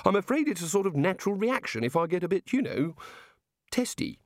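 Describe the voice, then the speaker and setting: Guy putting on a fussy little island god, high and bouncy, keeps saying sorry, dry close sound. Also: very animated, a little fast, no room sound. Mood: apologetic. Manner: polite, inquisitive, flustered and apologetic. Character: fussy, apologetic, curious male island god with a temper.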